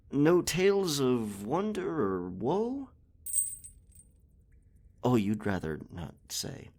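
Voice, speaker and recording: Husky, roguish captain, swinging wildly. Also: male voice, medium pitch, exaggerated voice. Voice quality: husky, breathy. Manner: theatrical, indignant outbursts, teasing asides. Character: boastful, roguish, flirtatious male pirate captain. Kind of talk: roleplay ASMR monologue.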